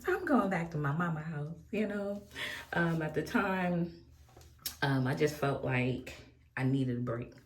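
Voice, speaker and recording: Woman, 40s, very low voice, candid rambling makeup chat, echoey room. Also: expressive, a little slow, slight background noise. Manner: candid, rambling, laughs often. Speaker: beauty vlogger. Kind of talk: casual get-ready-with-me chat.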